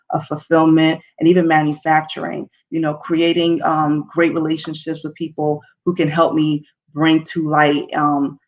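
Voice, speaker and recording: A woman's voice: sits low, muffled recording. A young woman talking informally and earnestly to an online audience, encouraging listeners as a talk show host. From Nigeria and New Jersey, US.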